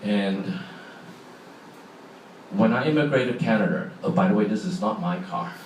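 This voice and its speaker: A man's voice: lowish voice, animated, normal speed, a little hiss or hum. A middle-aged man with a Hong Kong accent, giving a chatty public talk and asking the audience questions. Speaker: speaker. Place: large hall. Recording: echoey hall from far off, faint ambience.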